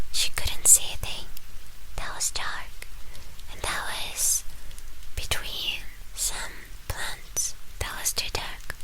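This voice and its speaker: A woman telling a story in hushed ASMR style, in short drawn-out phrases, close to the microphone. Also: low-quality recording.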